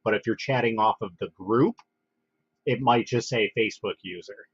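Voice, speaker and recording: A man hosting a casual livestream, congested from a head cold, talking in a relaxed, halting way with lots of fillers and restarts. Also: lowish voice, husky, no room sound. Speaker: livestream host. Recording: dull, dry lo-fi home stream audio.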